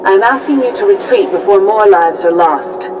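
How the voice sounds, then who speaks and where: An actress playing a serene, firm Jedi grandmaster in a video game, calm, formal and authoritative. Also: female voice, mid-range voice, normal speed, recorded from a distance, background noise, natural voice. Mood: calm resolve.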